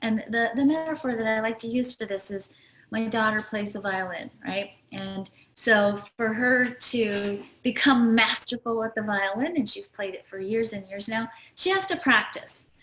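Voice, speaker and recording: Middle-aged woman host, high voice, earnest teacherly delivery, roomy echo. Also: normal speed, fairly bright tone, a little hiss or hum. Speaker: show host. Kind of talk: spiritual astrology talk.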